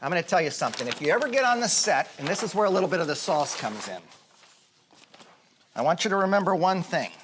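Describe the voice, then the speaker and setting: A man's voice: a little high, very animated, quick pace, background noise. A man playing a cocky, belittling acting teacher in a naturalistic comedy, talking in a brash, sarcastic, rambling way. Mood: dismissive.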